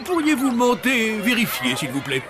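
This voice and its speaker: A man's voice: extremely high pitch, sing-song, moderate pace, over music. A man voicing a courteous male hotel director. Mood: polite. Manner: courteous, requesting.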